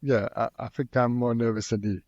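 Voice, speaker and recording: A man's voice: medium pitch, steady tone, brisk, a little mellow, no echo. A man who organizes conferences, chatting on a podcast and restarting his sentences often. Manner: hesitant, restarts a lot. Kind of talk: casual podcast chat. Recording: dry, dull lo-fi sound.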